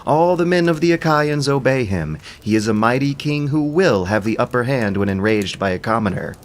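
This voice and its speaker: A man's voice: medium pitch, expressive, normal speed, voice pushed up, close to an everyday voice. A man voicing a wise, wary seer in an epic audio drama, speaking in solemn, anxious declamation. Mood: wary, pleading. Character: wary, wise seer fearing a powerful king.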